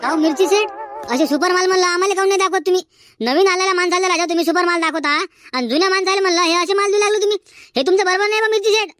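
Squeaky cartoon bazaar haggler, folksy and insistent, very high and bright, dry close sound. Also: racing, no echo. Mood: insistent haggling. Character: haggling, bantering cartoon chilli-market traders. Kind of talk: comedic cartoon dialogue in colloquial rural Marathi.

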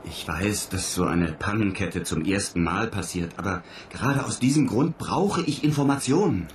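A man's voice: on the low side, lively intonation, normal speed, slight background noise, natural voice. An actor playing a weary, insistent male astronaut commander in a radio play, speaking tersely. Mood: tense, insistent. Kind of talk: German radio play acting.

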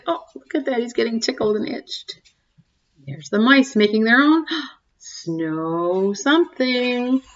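A woman in her forties reading a picture book aloud to young children, expressively, pausing to ask them questions and comment. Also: mid-range voice, fairly bright tone, lo-fi sound. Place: small home room, indoors. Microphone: webcam or laptop mic at desk distance.

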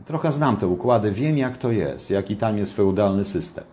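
A man's voice: medium pitch, darkish tone. An older man giving ironic, sarcastic political commentary from his home kitchen, repeating points for emphasis, recorded on a webcam.

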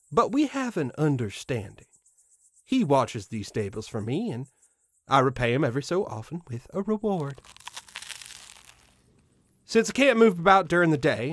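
A man's voice: medium pitch, dry recording. A man playing a proud, mischievous living scarecrow who guards a farm, musing in a theatrical, pompous way in an audio drama monologue. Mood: fond, reflective. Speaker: voice actor.

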